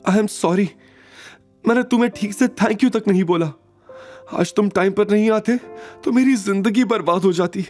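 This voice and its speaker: A man's voice: high voice, moderate pace, a little mellow, faint music behind, pitched above the performer's own voice, close to an everyday voice. A man voicing an emotional, wronged young woman in an audio drama, pleading and bitter. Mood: calm, grateful.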